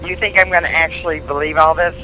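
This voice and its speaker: A man on a phone call, answering in a plain, deliberate, unruffled manner. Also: on the high side, steady tone, pushed and bright, slight background noise. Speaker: call taker, prank call target. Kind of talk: phone conversation.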